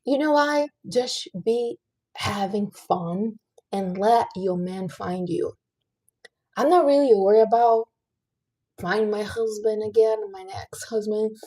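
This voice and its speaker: Woman, late 30s, livestream host, earnest advice-giver, relaxed tone with breathy texture. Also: mid-range voice, slow, rough recording. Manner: chatty and earnest, giving life advice to viewers. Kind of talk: casual livestream chat.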